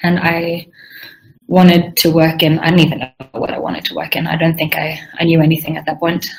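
Low, creaky-voiced young woman. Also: monotone, fairly quick, rough recording. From Sweden and Southern Africa. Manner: frequent throat clearing. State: strained voice, needing to clear throat. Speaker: UI and UX game designer being interviewed. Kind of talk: informal career interview, explaining own work.

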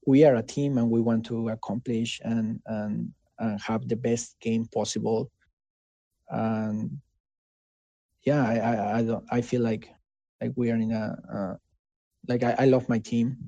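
A man with a Mexican accent and Spanish as his first language, answering casually in an interview in an earnest, halting way, often checking for agreement. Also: mid-range voice, level tone, warm tone, no echo, muffled recording. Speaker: interviewee, game developer.

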